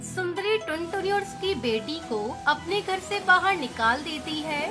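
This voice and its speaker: A woman narrates a children's animal tale from a script. Her voice is extremely high, and music plays clearly behind the storytelling. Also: expressive, unhurried.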